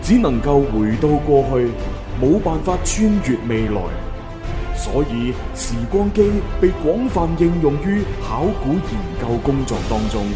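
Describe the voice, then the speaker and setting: A man's voice: mid-range voice, sing-song, measured pace. A man reading a dramatic scripted prologue as narrator of a Cantonese science-fiction radio drama. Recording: echoey effect over prominent music.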